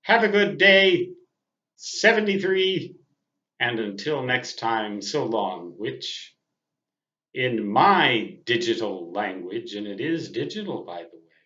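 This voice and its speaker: Slow-talking older man in an echoey room. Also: mid-range voice, expressive, sharp tone. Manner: deliberate phrasing with pauses, wordy, self-deprecating. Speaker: amateur radio operator and vlogger. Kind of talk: unscripted personal monologue to viewers. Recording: dull, roomy, echoing sound.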